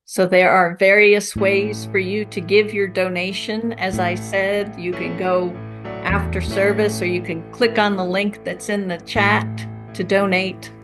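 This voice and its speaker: A woman leads a spiritual service at a slow pace. Her pitch sits in the middle range for a woman, and she phrases prayers and announcements deliberately, with a reverent, measured delivery. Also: little pitch movement, over music. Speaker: service leader at a spiritual center. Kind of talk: leading prayer and offering at a church service.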